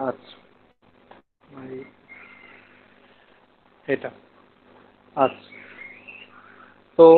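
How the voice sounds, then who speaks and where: A man with a Bangladeshi accent teaching a live online coding lesson step by step, mixing in English technical terms. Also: on the high side. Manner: explains step by step, asking questions of students. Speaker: programming instructor. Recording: narrow phone-like online class audio.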